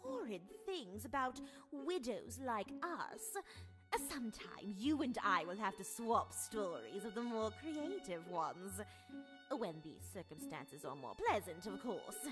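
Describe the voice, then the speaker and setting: Posh-mannered gossipy widow lady for a visual novel, super lively intonation, music behind. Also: mid-range voice, measured pace. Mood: arch sympathy. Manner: mannered, prim, chatty upper-class phrasing. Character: genteel, gossipy society widow, married more than once. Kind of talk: voiced visual novel dialogue. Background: game music.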